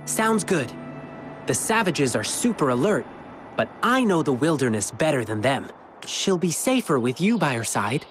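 A man voicing an energetic, earnest young adventurer in a video game. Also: a little high, normal speed, soft background music. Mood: earnest, determined.

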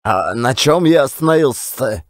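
A man's voice: mid-range voice, expressive, normal speed, no echo, lightly acted. Man playing a folksy, rambling Russian storyteller, close and dry. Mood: animated recounting. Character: garrulous folksy old-time Russian storyteller, short man, self-deprecating. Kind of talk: one-actor audio play.

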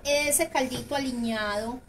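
A woman with a Colombian Paisa accent talks through her cooking in a high, chatty voice, repeating words as she goes. She sounds a little away from the microphone. Clear kitchen noise runs around her. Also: a little slow, distant mic, poor audio. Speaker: home cook, video host. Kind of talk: casual live cooking demonstration. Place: home kitchen, indoors.

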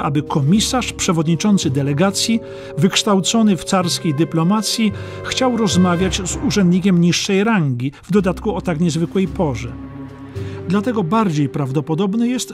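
A man giving scripted narration of a historical documentary audiobook. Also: high-pitched. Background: music.

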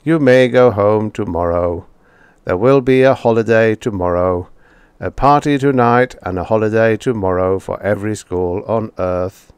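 A man voicing a calm, unfeeling robot teacher who speaks in a flat metallic way. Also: fairly low pitch, moderate pace, smooth, no room sound, natural voice. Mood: instructive.

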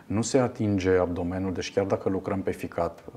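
A man in his fifties, a radiologist guest in a TV studio interview, explaining a procedure in a measured way with frequent fillers. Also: low voice, fairly even intonation, mellow, a little hiss or hum. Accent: Romanian. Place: television studio.

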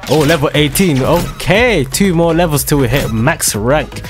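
A man giving excitable, slangy commentary over his own shooter gameplay, his voice a little hoarse from a dry throat. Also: fairly high pitch, measured pace. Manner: excitable, slangy trash-talking banter. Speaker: gaming video creator. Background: loud background music and gunfire.

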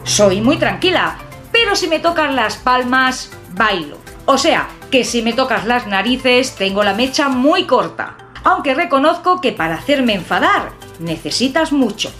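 A woman in her fifties from Catalonia, with a southern-sounding Spanish accent from an Extremaduran father, chatting to camera in a jokey, expressive way from a small room at home. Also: high-pitched, a little slow, soft background music. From Catalonia, Spain. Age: 50s. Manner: chatty, expressive, jokey, lively hand gestures. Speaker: urban exploration vlogger. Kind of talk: personal talk to camera.

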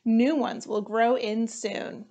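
Female storybook reader, thirties, higher-pitched, very measured pace, expressive delivery. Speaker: firefighter reading storybooks aloud. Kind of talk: children's storytime read-aloud.

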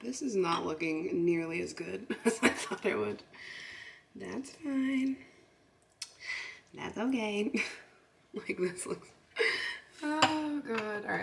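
A woman's voice: medium pitch, expressive, a little slow, gravelly, long pauses, a little hiss or hum. A woman vlogger chatting casually through a baking project at home, talking through each step and giggling often. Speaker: lifestyle vlogger. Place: home kitchen.

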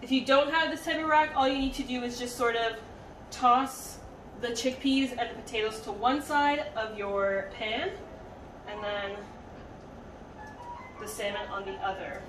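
Young female home-cooking host, high voice, informal instruction, recorded at a distance from the mic with music behind. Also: steady tone, measured pace. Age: young adult. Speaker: home cook hosting a cooking video. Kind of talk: casual cooking demonstration. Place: home kitchen, indoors. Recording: roomy echo, distant mic, background music.

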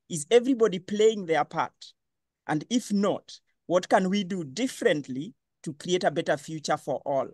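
A man hosting an online panel discussion, lively and questioning. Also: sits high, no echo, clean studio sound. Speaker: webinar host.

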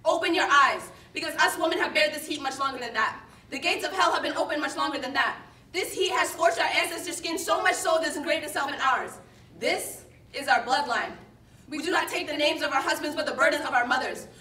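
A young woman recites spoken word with forceful emphasis. Her voice is high and bright. She is picked up from a distance in a roomy space. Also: fairly quick. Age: young adult. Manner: emphatic, declamatory. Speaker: slam poet. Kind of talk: spoken word poetry performance. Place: indoor stage in front of a projection screen. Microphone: far camera. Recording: roomy, distant microphone, background noise.

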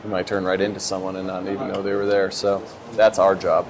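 Low, even-voiced young man. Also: a little fast, background noise. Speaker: military air traffic controller. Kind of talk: informal explanatory interview. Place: indoors in a glass-walled control tower.

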